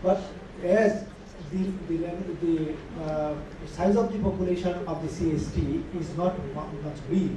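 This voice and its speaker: A man's voice: fairly high pitch, unhurried. A man speaking as a panelist at a conference, hesitant and full of fillers. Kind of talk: conference remarks. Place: large conference hall. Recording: distant echoey hall recording with noise.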